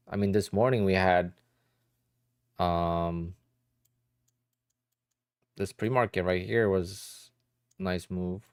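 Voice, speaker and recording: A man in his thirties with a Polish accent talks casually to a live-stream audience, tired and a little sleepy. His voice sits low with a gravelly edge. The sound is close and dry, with mouse clicks now and then. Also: fairly even intonation, slow pace, long pauses, no echo. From Poland. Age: 30s. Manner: chatty, answers viewer questions with many "like"s and "you know"s. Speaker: day trader streaming live.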